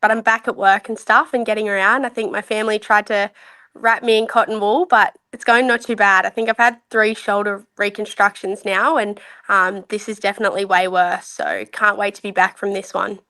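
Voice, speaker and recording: A woman's voice: mid-range voice, flat delivery, a little fast, bright, forceful tone. A young woman, a rugby league player talking openly and easily as a podcast guest. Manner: open, easygoing, candid. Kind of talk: casual interview chat.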